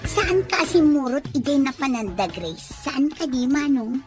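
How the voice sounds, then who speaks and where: A woman's voice: sits high, very animated, normal speed. A woman voicing a scheming young woman who teases and pries with sly questions, in an audio drama. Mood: teasing. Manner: teasing, prying questions with sly laughs. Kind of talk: Ilocano radio-style drama acting. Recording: faint music behind.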